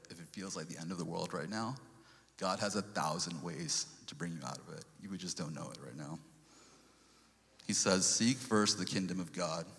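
A man's voice: low-pitched, pushed and bright, long reverb tail. A man from Sri Lanka giving an earnest church testimony, quoting scripture and stumbling over restarts. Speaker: lay speaker giving a testimony.

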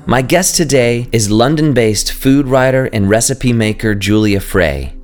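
A man's voice: medium pitch, lively intonation, measured pace, darkish tone, soft background music. A man hosting a podcast interview, talking in an earnest, enthusiastic and rambling way. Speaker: podcast host. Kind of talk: casual interview chat.

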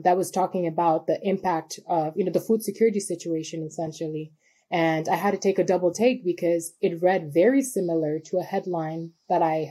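A woman from Cameroon speaks in a husky, mellow, lowish voice. She talks about her work thoughtfully, pausing often and restarting her sentences as she reaches for words. Her delivery is measured and conversational. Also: low-quality recording. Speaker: guest, humanitarian worker. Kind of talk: conversational interview.

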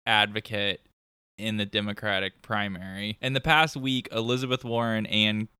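A man's voice: lowish voice, fairly even intonation, moderate pace, bright, forceful tone, smooth, dry recording. A man hosting a casual podcast, chatting and laughing often while he recaps a TV episode. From New York state. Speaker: podcast host.

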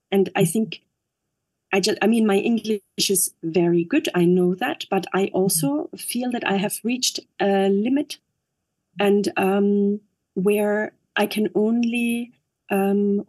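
A middle-aged woman with an Austrian German accent speaks as a guest, weighing her words and often pausing or starting over. Her voice sits in a middle register. The recording is dry and close, with almost no room sound. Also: mid-range voice, a little mellow, no room sound. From Upper Austria. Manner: thoughtful, hesitant, many fillers and restarts. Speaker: actress and director, interview guest. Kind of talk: reflective interview talk.

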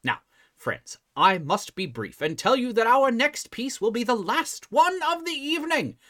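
Show host, hugely swooping pitch, mid register, theatrical scripted introduction, wry, showy. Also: very animated, unhurried, sharp tone.

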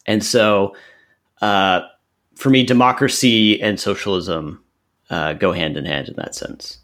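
A man in his thirties from Central Texas, a podcast guest who explains his views in an earnest, measured way. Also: fairly low pitch, fairly bright tone. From Central Texas, USA. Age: 30s. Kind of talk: podcast interview answers.

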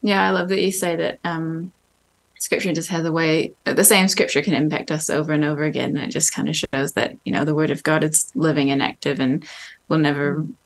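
A young woman from New Zealand with a softened Kiwi accent, co-hosting a podcast, friendly and often murmuring agreement. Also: sits low, fairly even intonation, brisk. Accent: mixed, not typically Kiwi. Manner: friendly, frequent backchannel mm. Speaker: podcast co-host, communications worker. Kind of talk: casual podcast chat.

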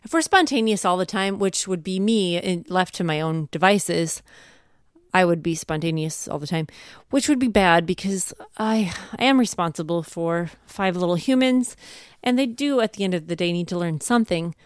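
Female podcast co-host, smooth lowish voice, encouraging, conversational advice in a close dry recording. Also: lively intonation, normal speed, no room sound. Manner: encouraging, anecdotal. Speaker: podcast co-host, homeschooling mother.

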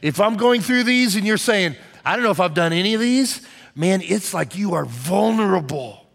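A man's voice: high-pitched, animated, moderate pace, vocal fry, a bit bright. A man giving a conversational sermon as pastor, repeating his points for emphasis. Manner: conversational preaching with emphatic repetition.

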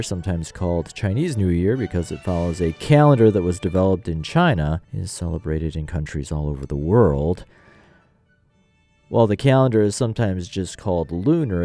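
A man's voice: low-pitched, dark tone, no room sound. An American man hosting a radio arts program, reading scripted news and event details. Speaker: radio host.

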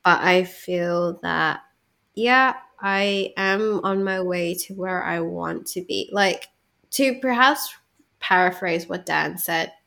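A woman with a fairly low voice talks through a casual podcast episode. Her first language is Cantonese, and she is chatty and reflective, laughing easily as she goes. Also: takes it slowly, gravelly, bright, forceful tone. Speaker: podcast co-host.

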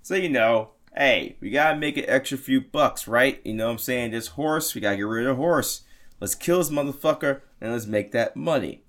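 A man's voice: medium pitch, moderate pace, fairly bright tone. Crude jokey male podcast storyteller. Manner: crude, jokey, swearing, rambling. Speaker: podcast host telling the story. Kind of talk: casual comedic storytelling.

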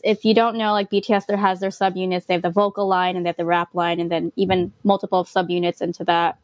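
A young woman hosting a podcast, chatting excitedly with lots of "like" fillers. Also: mid-range voice, no room sound. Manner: excited fan talk with many "like" fillers. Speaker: podcast host. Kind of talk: casual podcast chat.

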